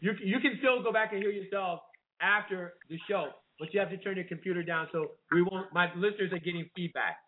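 A man from Washington, D.C., hosting a casual radio interview, chatty and quick with interjections. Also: sits high. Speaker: radio host. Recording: noisy phone-like line.

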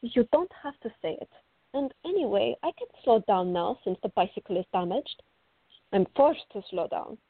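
A woman playing a fiery, excitable teenage art student in a radio drama, with a dramatic way of talking. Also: mid-range voice, moderate pace, slightly rough, dry recording. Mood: sleepy, grumbling. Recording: dry, thin phone-like band.

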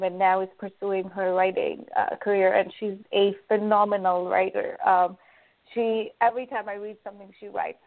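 A woman from Kenya speaks as an interview guest, earnest and eager, filling her pauses with ums and uhs. Her voice sits at a middle pitch and comes through a thin, tinny phone line. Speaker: guest, magazine editor and life coach. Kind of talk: conversational interview. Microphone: phone call.

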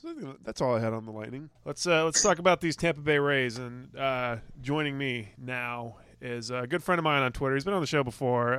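A man's voice: medium pitch, fairly bright tone, clean tone, no echo. A man hosting a sports podcast in casual chat, rambling with lots of restarts and the odd swear word. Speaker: podcast host.

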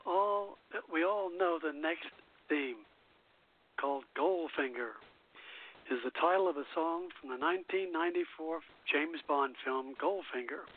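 A man hosting an internet radio show, reading facts about songs from notes and stumbling now and then over names. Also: on the high side. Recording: tinny phone-line sound.

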